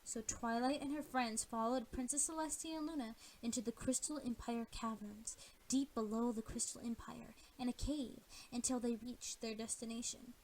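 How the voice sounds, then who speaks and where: A woman with a high-pitched voice reads story narration for a homemade audio play. She sets scenes and links the characters' lines as the storyteller. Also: steady tone, moderate pace, a little mellow, poor audio.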